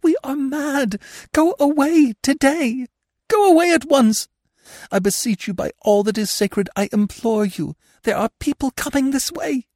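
A distraught young woman, high and breathless, pleading in desperation, as a male reader puts her on. The voice swings widely as she begs, and the recording is dry and close. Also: expressive, slow, creaky, long pauses, a little mellow, husky, no room sound, exaggerated voice. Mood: panicked. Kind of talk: audiobook reading of a classic novel.

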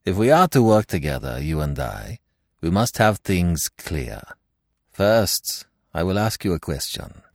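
A calm, fatherly detective who speaks quietly and deliberately, his voice low and soft, as a male narrator plays him. The reading is dry and close, like a storybook read aloud. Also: gravelly, dry recording, heavily acted. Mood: measured. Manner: quiet, measured. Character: famous little Belgian detective, calm and fatherly.

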